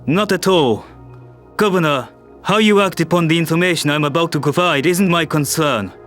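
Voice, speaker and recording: A firm, diplomatic statesman, played by a man speaks in a fairly high male voice. It is a sci-fi audio drama with quiet music beneath. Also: moderate pace, close to an everyday voice. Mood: firm, solemn. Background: faint music.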